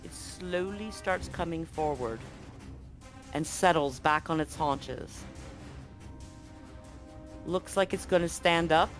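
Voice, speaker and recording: Male game master, fairly high voice, narrates scenes dramatically and handles rules casually. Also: slow pace, pauses often, faint music behind. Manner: dramatic scene-setting mixed with casual rulings. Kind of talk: narrating a tabletop roleplaying game. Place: live online stream.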